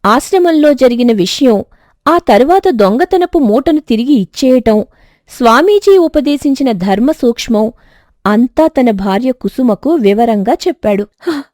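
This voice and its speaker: A woman reads a moral tale as its narrator. The voice is high and very lively in pitch, with scripted storytelling phrasing and a very dry, close recording. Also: measured pace, no room sound.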